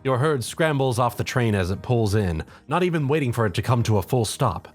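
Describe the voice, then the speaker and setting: A man's voice: medium pitch, expressive, fairly quick. A man narrating a fan fiction story in a dramatic second-person storytelling style. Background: background music.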